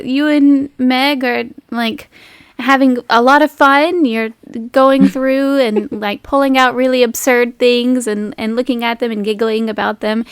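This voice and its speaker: A woman's voice: high voice, clear, resonant tone, dry recording. A woman working as game master, narrating an improvised tabletop game in a playful, conversational way.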